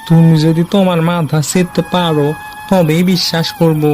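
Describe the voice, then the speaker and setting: A man's voice: a little high, level tone, normal speed, a little mellow, slight background noise, natural voice. A man voicing a cheeky, fearless schoolboy in a cartoon, talking in a defiant, dismissive way. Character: cheeky fearless schoolboy who is bad at maths. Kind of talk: cartoon character dialogue.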